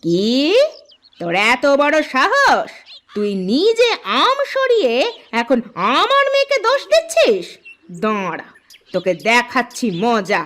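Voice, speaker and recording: Brief: a sharp cartoon stepmother, high, lively, harsh. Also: unhurried, full character voice. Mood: angry. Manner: sharp and scolding.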